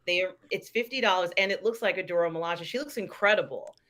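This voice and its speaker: A woman's voice: fairly low pitch, quick pace, fairly bright tone. A woman co-hosting a talk show, chatting casually as a fan.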